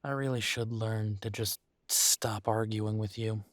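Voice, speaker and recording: A man playing a conflicted, weary werewolf talking to a mate in an intimate roleplay audio drama, halting and exasperated. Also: on the low side, steady tone, dry recording, studio quality, close to an everyday voice. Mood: pleading, tender. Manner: exasperated, halting, sighing delivery. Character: weary, conflicted male werewolf arguing tenderly with his mate.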